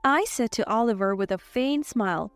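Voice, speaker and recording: A woman's voice: a little high, expressive, moderate pace, smooth, no room sound, soft background music. A woman reading a scripted first-person story as its narrator.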